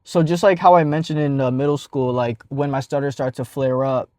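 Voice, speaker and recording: A man's voice: medium pitch, fairly even intonation, a little fast, a little mellow, no room sound, clean studio sound. A young man with a stutter telling a casual personal story as a vlogger, with many fillers and restarts.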